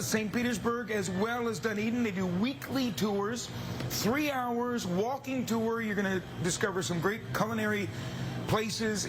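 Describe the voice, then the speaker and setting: High-voiced enthusiastic male TV reporter. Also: noisy background. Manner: enthusiastic, chatty, repeats himself. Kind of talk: upbeat live morning TV feature chat.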